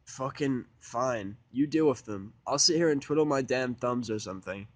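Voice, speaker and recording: A man's voice: medium pitch, moderate pace, no echo, close to an everyday voice. Man as a grumpy, terse, sarcastic guy. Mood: grudging. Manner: flat, terse, grudging. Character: grumpy, sarcastic human male outsider among ponies.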